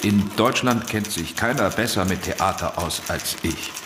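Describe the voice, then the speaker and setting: Deep, slow, pompous would-be publisher. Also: male voice. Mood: salesmanlike. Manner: pompous, self-correcting, muttering asides. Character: self-important, scheming would-be publisher drafting letters, a man. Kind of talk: German radio play, dictating a letter. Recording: roomy, noisy radio drama scene.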